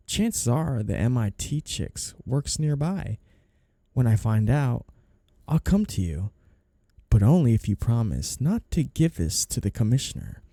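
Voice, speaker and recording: A man acts out a suave, cunning mafia boss in an intimate audio drama. The voice is very dark and mellow with a gravelly creak, swinging expressively as he teases and gives orders. It is recorded close and dry. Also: mid-range voice, no room sound. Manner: smooth, teasing, deliberate, smugly commanding. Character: suave flirty two-faced mafia boss, cunning and controlling. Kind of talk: intimate ASMR audio roleplay.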